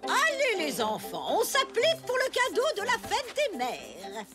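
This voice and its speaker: A woman's voice: very high-pitched, wildly swooping pitch, unhurried, full character voice. A woman voicing a primary school teacher in a French cartoon, sing-song, stern yet chirpy. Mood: brisk. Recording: loud music underneath.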